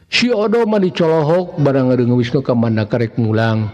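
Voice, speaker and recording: A man's voice: mid-range voice, big pitch swings, moderate pace, darkish tone. Sundanese man telling folktales in long reverb. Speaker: storyteller. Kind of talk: narrated folk tale. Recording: long reverb, dull muffled sound. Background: faint music.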